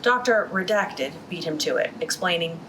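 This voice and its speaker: A woman's voice: on the low side, noisy background. Woman reading a novel aloud. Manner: even reading, quick restarts after slips. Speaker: library storytime reader.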